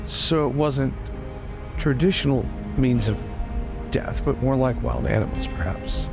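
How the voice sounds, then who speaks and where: A man speaks as a player in a tabletop game, his mid-pitched voice heavy with fry. He improvises casually and tentatively, working things out aloud. Music is clearly audible behind him. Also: a little slow. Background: background music.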